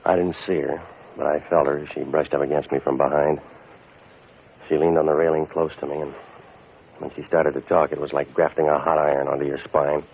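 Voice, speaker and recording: A very low-pitched hard-boiled private investigator from 1940s radio noir. He is cynical and wisecracking, with a deadpan, sardonic delivery. The old broadcast recording is dull and muffled. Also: brisk, gravelly, a little hiss or hum. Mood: wry, world-weary narration. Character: wisecracking, cynical tough-guy hired investigator and narrator.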